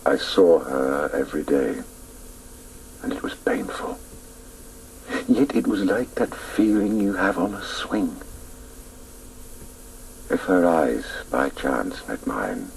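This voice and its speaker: Reflective man telling his boyhood story on radio, really low slow voice, bit dramatic. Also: vocal fry, a little hiss or hum, full character voice, poor audio. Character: wistful grown man recalling his boyhood.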